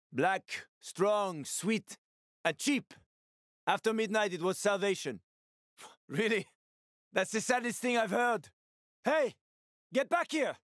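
A man's voice: sits high, fairly bright tone, no room sound, studio quality. A male actor voicing a wry, streetwise survivor guide and ex-soldier in a video game, with colloquial, foul-mouthed talk. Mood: reminiscing, then urgent, alarmed. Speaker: video game companion character.

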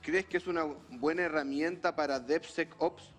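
A man's voice: fairly high pitch, moderate pace, a bit bright. Man hosting a casual Q&A. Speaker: event host. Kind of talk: casual moderation.

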